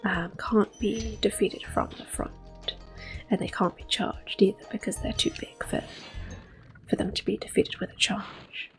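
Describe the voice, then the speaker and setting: A woman giving gentle, hushed ASMR commentary on a video game, slipping between soft speech and whispers. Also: mid-range voice, steady tone, a little slow. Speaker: host playing a video game. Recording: soft game music behind. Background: quiet game music.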